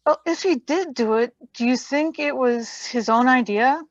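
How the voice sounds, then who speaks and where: A woman's voice: high-pitched, unhurried, no room sound, muffled recording. A woman playing an earnest, inquisitive young companion in a radio play reading.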